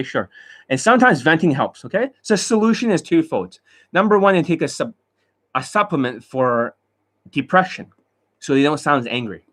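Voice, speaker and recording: A man lecturing as a dating coach in a blunt, profane rant, swinging between loud outbursts and low muttering. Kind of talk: ranting monologue lecture.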